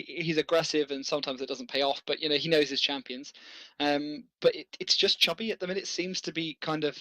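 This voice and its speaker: A man's voice: on the high side, sharp tone, dry recording, poor audio. A man casting a video game match, chatting through strategy with enthusiasm and the odd chuckle. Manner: enthusiastic, analytical, chuckles often. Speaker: esports caster. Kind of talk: casual game commentary.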